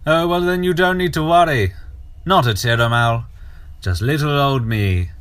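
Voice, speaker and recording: A man playing a sadistic, campy alien villain in an audio drama, with theatrical, mocking, drawn-out delivery. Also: medium pitch, a bit bright, smooth, natural voice.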